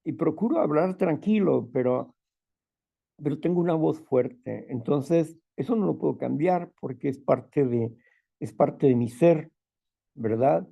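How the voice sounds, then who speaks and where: Gravelly-voiced man with a strong voice. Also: a little high, expressive, unhurried, lo-fi sound. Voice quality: strong loud voice. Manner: sounds stern without being angry; repeats phrases, asks listeners "¿no?" and "¿verdad?". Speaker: group leader. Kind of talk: spiritual talk and prayer.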